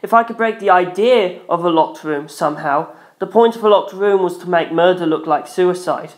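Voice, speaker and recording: A man's voice: sits high, normal speed. A man reading a first-person story aloud as a dramatic narrator. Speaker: audiobook-style narrator. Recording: roomy echo.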